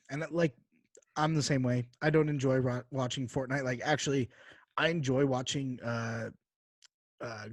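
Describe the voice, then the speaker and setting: A man's voice: mid-range voice, a little mellow, no echo. A man hosting a podcast in casual chat, bantering informally with plenty of slang. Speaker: podcast host.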